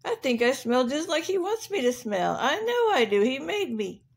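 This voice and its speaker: A woman's voice: sits high, big pitch swings, normal speed, creaky, breathy edge, voice pushed up, heavily acted. A woman voicing a big, naive rhinoceros in a children's story, wide-eyed and proudly earnest. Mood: proud, defensive.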